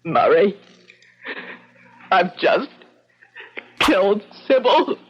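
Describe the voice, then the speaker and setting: A woman's voice: medium pitch, very animated, very slow. An actress playing a hysterical, guilt-ridden murderess haunted by her crimes, breathless and overwrought in old-time radio melodrama style. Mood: shaken confession. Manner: breathless, sobbing, overwrought delivery. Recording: muffled, very noisy vintage radio recording.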